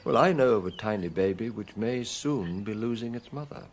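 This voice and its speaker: An actor playing a middle-aged British chief inspector in a radio drama, fatherly and reflective, speaking in a measured, musing way. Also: fairly low pitch, lively intonation, slight background noise, natural voice. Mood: gentle, businesslike. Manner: measured, musing, gently probing. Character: veteran male police chief inspector, fatherly, quietly reflective.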